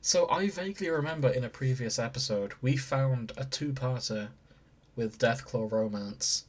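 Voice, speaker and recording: A man's voice: medium pitch, moderate pace, slight background noise. A man co-hosting a podcast, chatting casually in banter with friends. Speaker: podcast co-host.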